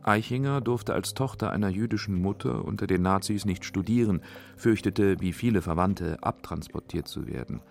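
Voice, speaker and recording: A man's voice: lowish voice, moderate pace, gravelly, few pauses, faint music behind. A man narrating a scripted radio feature as its documentary narrator.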